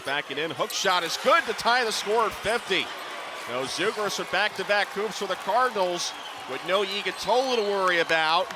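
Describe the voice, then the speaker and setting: A middle-aged man calling live basketball on radio in clipped running descriptions that can rise to excited calls. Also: sits high, noisy background. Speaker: play-by-play basketball announcer. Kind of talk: live radio sports commentary. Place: courtside in a basketball arena.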